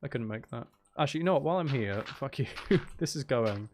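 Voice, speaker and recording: A man's voice: medium pitch, animated, fast talker, darkish tone, slight background noise. A man chatting casually as he hosts a live gaming stream, with playful, teasing banter aimed at his viewers. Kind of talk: casual gaming livestream chat.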